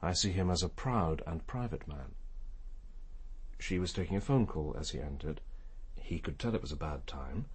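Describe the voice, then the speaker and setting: An actor playing a courteous, perceptive senior police detective in a radio drama, speaking in a formal, restrained, probing way. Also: male voice, extremely low pitch, lively intonation, moderate pace, husky, exaggerated voice. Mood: grave, restrained.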